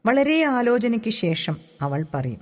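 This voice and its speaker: Lady reading a story out loud, slow and really melodic, big echoey reverb. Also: a little high, big pitch swings. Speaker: audiobook reader. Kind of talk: expressive literary narration. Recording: long hall-like reverb.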